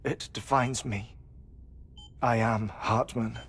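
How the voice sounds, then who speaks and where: An actor playing a grieving, eccentric middle-aged scientist in a video game, speaking in a measured, scholarly way. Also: male voice, mid-range voice, fairly even intonation, fairly bright tone, slightly rough. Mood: wistful. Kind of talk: dramatic video game cutscene acting. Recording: music behind the voice.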